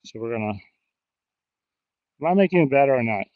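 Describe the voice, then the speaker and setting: A man's voice: medium pitch, lively intonation, brisk, dry recording, narrow, tinny audio. A man with an American accent chatting casually on an outdoor live stream, rambling and joking at his own expense. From New Jersey, United States. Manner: rambling, self-deprecating, joking. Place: outdoors in a river in a park.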